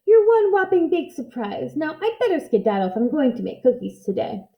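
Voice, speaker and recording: A woman's voice: very animated, a little fast, vocal fry, echoey room, dull audio, exaggerated voice. A woman voicing a gleeful, boastful little old witch from a storybook, screechy and theatrical. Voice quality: high, screechy. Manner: theatrical, chanting nonsense rhymes. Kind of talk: storybook character voice.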